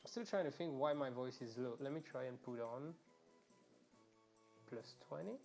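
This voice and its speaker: A man's voice: medium pitch, lively intonation, normal speed, creaky, darkish tone, faint music behind. A young man chatting casually to viewers while live-streaming a game, rambling and swearing freely, recorded through an earphone mic at home. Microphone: earphones mic, quiet and low in level.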